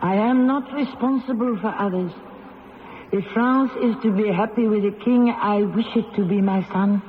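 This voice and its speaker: An actress playing a proud, resigned condemned queen in her late thirties, speaking formally and deliberately in a radio drama. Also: mid-range voice, phone-quality sound, a little hiss or hum, lightly acted. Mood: firm, defiant. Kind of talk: 1970s radio drama acting. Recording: band-limited old radio recording, reverberant, music behind.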